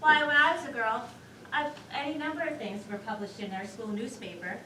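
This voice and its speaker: A woman's voice: on the high side, a little fast, pushed and bright. Woman acting gushing proud wife, echoey theater. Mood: defensive, proud. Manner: gushing, self-satisfied. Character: proud, chatty, self-important wife. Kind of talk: stage comedy acting. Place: theater stage, recorded from afar. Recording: echoey theater, distant mic, noisy.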